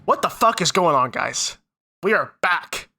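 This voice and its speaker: A man's voice: high voice, expressive, a little slow, gravelly, sharp tone, soft background music. A man hosting a casual podcast chat, sniffly from a head cold, upbeat and running the intros and plugs. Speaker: podcast co-host.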